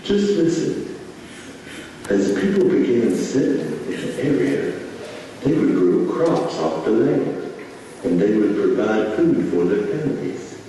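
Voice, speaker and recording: A man's voice: fairly low pitch, mellow. A man playing a patient, teasing dad who explains things in a folksy, instructive way in a puppet show. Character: patient, teasing dad explaining town history to his child. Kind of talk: scripted puppet show dialogue. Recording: echoey hall from far off, faint noise.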